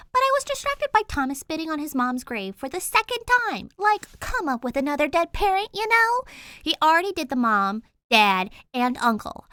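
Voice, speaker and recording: Woman reader voicing a bright, high, brash teen ghost girl; indignant rambler, storybook reading. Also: no echo, studio quality, full character voice.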